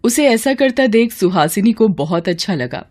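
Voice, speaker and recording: A woman narrating a scripted story as a storyteller, in an expressive, dramatic manner. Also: mid-range voice, normal speed.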